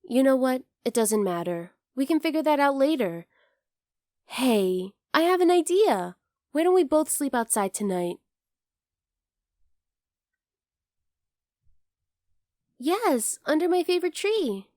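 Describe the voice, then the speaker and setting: A woman's voice: sits high, sing-song, normal speed, darkish tone, dry recording, studio quality. A woman voicing a teasing, curious young bunny girl in an ASMR roleplay, speaking to the listener in a playful, casually blunt way. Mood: eager, softly affectionate.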